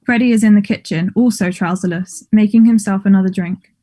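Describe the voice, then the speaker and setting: A woman reading stage directions as scripted narration in a measured, neutral manner. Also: mid-range voice, steady tone, dark tone.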